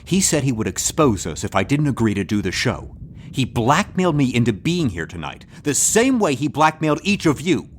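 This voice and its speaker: An actor playing a disguised TV star turned secret operative, defensive and earnest, in a comedic audio drama. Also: male voice, medium pitch, sing-song, normal speed, slight background noise, natural voice. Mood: earnest confessing.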